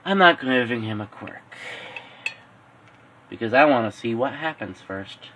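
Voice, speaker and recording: A man's voice: mid-range voice, lively intonation, a little slow, fairly bright tone, slight background noise. A man voicing a scheming supervillain in an amateur fan story, muttering and deliberating in an offhand way. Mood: musing. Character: scheming adult male supervillain, gruff and musing.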